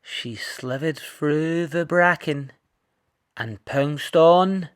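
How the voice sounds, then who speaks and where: A man narrating a children's picture book in an expressive read-aloud style. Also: on the high side, drawn-out words, clear, resonant tone. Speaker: storybook reader.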